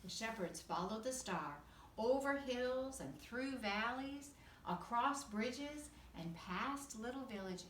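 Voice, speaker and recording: A woman's voice: fairly high pitch, lively intonation, takes it slowly, fairly bright tone. A woman teacher reading a children's storybook aloud with expression. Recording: echoey room, distant mic, faint noise.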